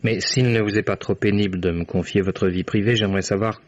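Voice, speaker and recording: An actor playing a courteous, persistent lawyer who questions deliberately, in the style of 1950s French radio drama. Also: male voice, lowish voice, steady tone, a little mellow, no echo, lightly acted. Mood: courteous, probing. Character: courteous, persistent male New York lawyer investigating a case. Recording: dull, band-limited vintage radio recording.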